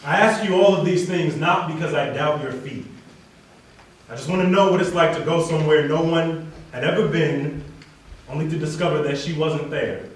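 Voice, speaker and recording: A man's voice: fairly high pitch, moderate pace. Very echoey, distant man reciting at a wedding. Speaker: wedding reader introducing and performing poetry. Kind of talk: spoken introduction and poetry recitation. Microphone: distant from speaker. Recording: echoey room, distant mic, faint noise.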